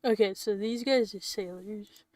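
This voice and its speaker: A woman's voice: medium pitch, measured pace, darkish tone. A young woman streaming a game, chatting casually, thinking aloud and giggling often. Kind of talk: casual game commentary, reading dialogue aloud.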